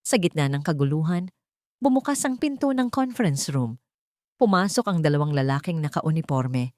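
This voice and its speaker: A woman with a Filipino accent narrates a dramatic romance story from a script. Her voice sits low, and the sound is close and dry. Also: animated, moderate pace, a little mellow, no echo.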